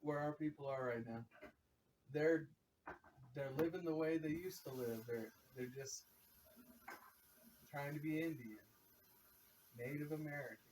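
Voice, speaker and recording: Halting man speaking from a distance. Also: fairly high pitch, echoey room, background noise. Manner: halting, repeats words while finding them. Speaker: guest. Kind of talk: casual group chat. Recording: distant mic, faint ambience.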